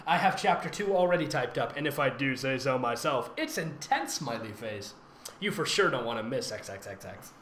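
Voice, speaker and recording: A man's voice: medium pitch, sing-song, moderate pace, fairly bright tone. A man hosting a comedic read-aloud of fan fiction, speaking in a theatrical, giggly, sarcastic manner with mocking commentary. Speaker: host, fan fiction reader and voice actor. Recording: roomy echo with faint noise.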